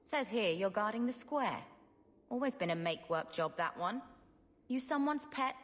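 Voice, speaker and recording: An actress playing a wry, confident female adventurer in a video game, brisk and breezy. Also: mid-range voice, lively intonation, natural voice. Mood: needling. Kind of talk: video game character acting.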